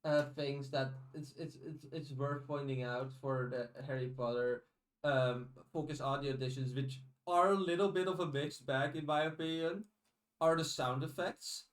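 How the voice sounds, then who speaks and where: A man co-hosting a podcast in casual chat, giving opinions in a measured, hesitant way. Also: mid-range voice, fairly even intonation. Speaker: podcast co-host. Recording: slightly distant from the mic.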